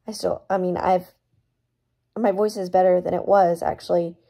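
A young woman, hoarse from losing her voice, chatting casually and emphatically about a book she is reading, recorded on her phone at home. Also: fairly low pitch, fairly even intonation, normal speed, dark tone. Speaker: book vlogger. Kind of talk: casual book review vlog. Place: small room at home, indoors. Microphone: phone front camera at arm's length.

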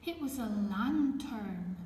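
Casting: female storyteller, unhurried and gentle, slightly high voice, reading for small children in a reverberant room. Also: lively intonation. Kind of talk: reading a children's picture book aloud. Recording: echoey room with background noise.

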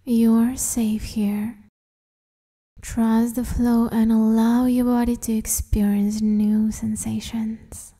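A woman guiding a meditation in a soft, breathy half-whisper, soothing and gently instructing the listener. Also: on the high side, flat delivery, slow. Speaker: meditation guide, host. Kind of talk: guided meditation, hypnosis-like.

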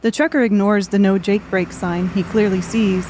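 A woman's voice: medium pitch, fairly even intonation, moderate pace, darkish tone, a little hiss or hum. A woman reading scripted story narration for an audiobook.

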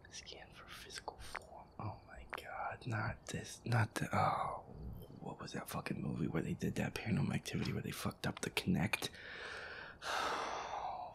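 A man playing a feisty, sweary werewolf boyfriend who grumbles his way through a horror game in an audio roleplay, his voice breathy and gravelly. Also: low voice, heavily acted. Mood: wary, muttering. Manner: exasperated, sweary grumbling, reading game text aloud. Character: feisty werewolf boyfriend, grumpy, sweary, easily scared gamer.

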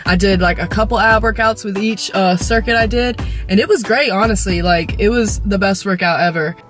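A woman's voice: mid-range voice, moderate pace. A woman in her twenties with an American accent, chatting informally and using slang as she vlogs about workouts. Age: 20s. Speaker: fitness vlogger. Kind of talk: casual vlog chat explaining workouts. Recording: loud music behind the voice. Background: music.

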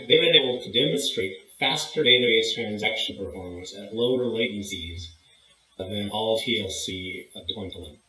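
A man's voice: fairly low pitch, sharp tone. A man in his forties giving an upbeat prepared endorsement over a video call from a room at home. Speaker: partner company representative. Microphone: webcam video call framing. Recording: echoey room.

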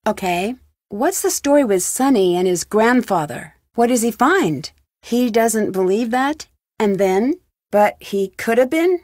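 Curious young girl detective in a video game, kinda high voice, super expressive, bit wry. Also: a little slow, no room sound, studio quality. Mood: probing. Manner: inquisitive, crisp, lightly wry. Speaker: video game lead character. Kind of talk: video game dialogue, separate questions and replies.

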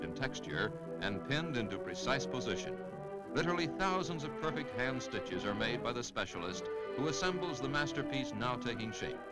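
A man's voice: mid-range voice, low-quality recording. A man with an American accent reading scripted 1950s newsreel narration in a polished announcer delivery. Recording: 1950s newsreel soundtrack, music behind.

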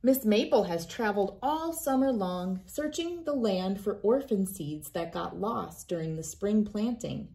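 A woman in her thirties reading a picture book aloud for children, with an expressive, deliberate storytelling delivery. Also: mid-range voice, vocal fry.

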